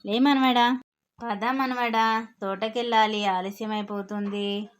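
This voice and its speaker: A woman's voice: a little high, steady tone, takes it slowly, pitched below the performer's own voice. A woman voicing a kindly, hardworking old village grandmother in a children's story. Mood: coaxing.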